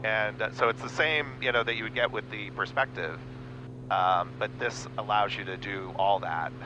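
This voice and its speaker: A man piloting a small plane, talking casually and haltingly over a headset in the cockpit. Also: lowish voice. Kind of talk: casual cockpit chat with radio calls. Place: small airplane cockpit in flight. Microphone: aviation headset. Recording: muffled headset audio, clear cockpit noise. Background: engine hum and radio traffic.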